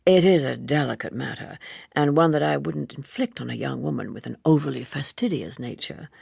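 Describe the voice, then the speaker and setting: A suave Edwardian gentleman from an audiobook, acted out by a woman. He is low and husky with a heavy creak, speaking deliberately and confidingly as he gently persuades. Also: dry recording, studio quality, exaggerated voice. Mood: grave, confiding.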